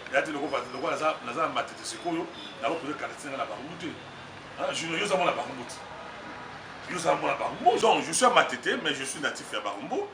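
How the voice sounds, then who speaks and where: Man, Congolese French accent, rapid speech, emphatic, rambling, roomy sound. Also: a little high, very animated. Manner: emphatic, rambling, mixes in Lingala. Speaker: political candidate, party communications secretary. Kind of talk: street interview. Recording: roomy, distant mic, noisy background.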